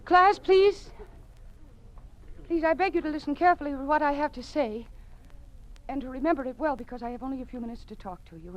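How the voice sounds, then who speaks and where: A woman's voice: sits high, a little hiss or hum. An actress playing an earnest, principled woman schoolteacher, emphatic and dignified, in 1950s radio drama style. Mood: urgent, solemn. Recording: muffled lo-fi old radio broadcast.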